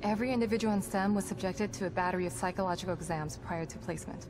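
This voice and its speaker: A woman's voice: mid-range voice, very fast, close to an everyday voice. Actress as guarded lady psychologist in a video game, precise n clinical, composed, music behind her. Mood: sober, analytical. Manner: precise, clinical, formal vocabulary. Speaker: psychologist character in a horror game. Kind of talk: video game cutscene acting. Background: background music.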